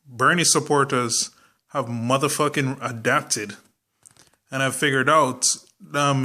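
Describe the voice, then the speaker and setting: A young man with a Jamaican accent talks straight to his viewers in an easygoing political vlog. His voice is bright and forward, and his delivery is loose and chatty, full of ums and the occasional curse. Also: medium pitch. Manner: chatty and informal, many ums, occasional swearing. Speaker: political vlogger. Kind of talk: casual political commentary to camera.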